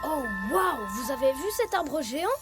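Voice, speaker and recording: A woman's voice: high voice, expressive, moderate pace. A woman voicing a brave, earnest young animal hero in a children's cartoon. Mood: awed. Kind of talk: animated series dub. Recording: music under the voice.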